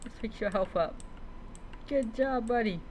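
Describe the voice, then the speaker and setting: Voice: mid-range voice, measured pace, a little mellow. Playful game streamer over noisy game sound. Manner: playful, talks to game creatures like pets. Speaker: streamer playing a survival game. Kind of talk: casual gameplay commentary.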